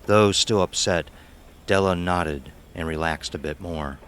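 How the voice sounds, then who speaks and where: A man narrating a scripted horror story in a measured, dramatic, suspenseful way. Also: deep voice, a bit bright, slight background noise.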